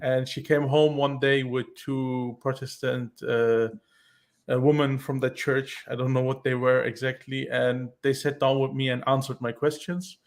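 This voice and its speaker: A man explaining earnestly on a call-in talk show. He speaks in halting bursts with many restarts. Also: medium pitch, level tone. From Iran, raised in Germany. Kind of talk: casual explanatory chat.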